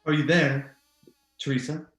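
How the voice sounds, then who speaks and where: A man's voice: fairly high pitch, steady tone, a bit bright. Man playing an uneasy, rational husband, roomy echo. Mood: polite. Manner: formal, measured, halting when uneasy. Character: reasonable, uneasy husband, denier of the supernatural. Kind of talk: old-time radio drama acting. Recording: roomy, echoey voice recording.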